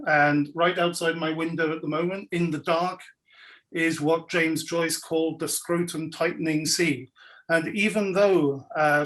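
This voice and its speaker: A man who grew up in Belgium with French as his first language, giving a wry, measured talk about his writing life over a video call from his home. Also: a little high, fairly bright tone, rough recording. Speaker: guest speaker, scholar and writer. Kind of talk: relaxed lecture-style talk. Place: home, indoors.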